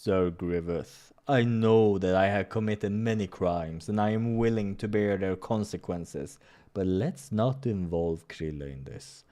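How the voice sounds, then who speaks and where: A big fallen bear acted out by a man from a visual novel, heavy with shame. He pleads gently and haltingly in a low, slow voice. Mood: humble, conciliatory.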